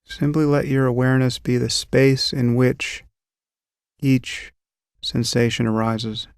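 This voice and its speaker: A man calmly guiding a meditation, giving slow, gentle instructions about breath and sensation. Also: mid-range voice, clean tone, no echo, clean studio sound. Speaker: meditation guide. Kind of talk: guided meditation.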